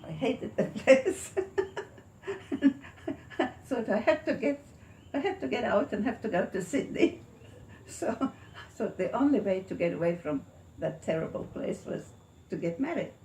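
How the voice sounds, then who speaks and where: A woman in her eighties with a Latvian accent, recalling her life in an interview, haltingly and searching for words. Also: mid-range voice, expressive, warm tone. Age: 80s. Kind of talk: oral history reminiscence. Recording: echoey room with faint noise.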